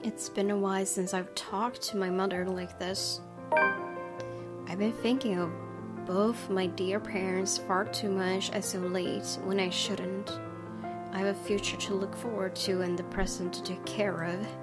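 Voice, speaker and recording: A woman reading a visual novel aloud as a let's play host, in measured, earnest dramatic narration. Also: medium pitch, flat delivery, loud music behind the voice.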